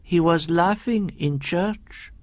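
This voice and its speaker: A man's voice: a little high, takes it slowly, darkish tone, no room sound, pitched above the performer's own voice. A man voicing a nervous, humble old French sacristan in a ghost story, hesitant and deferential. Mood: fearful. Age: older. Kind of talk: audiobook ghost story character voice.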